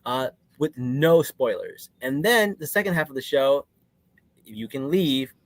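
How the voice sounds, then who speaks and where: A man from New Jersey hosts a casual podcast, joking and laughing as he goes. His pitch swings around a lot in an animated way. The recording is dry and close. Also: unhurried, no room sound. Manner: jokey, self-deprecating, laughs often. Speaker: podcast host.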